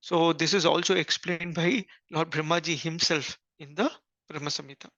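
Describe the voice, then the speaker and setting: A man giving an earnest scripture class, pausing often with fillers and restarts. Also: fairly high pitch, level tone, fairly bright tone, no room sound. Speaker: spiritual teacher. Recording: dull lo-fi recording.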